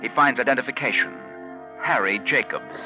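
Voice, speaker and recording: An actor playing a grave, suspenseful storyteller, narrating a crime tale in dramatic, deliberate 1940s radio style. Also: male voice, mid-range voice, lively intonation, lightly acted. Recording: muffled 1940s radio recording with music.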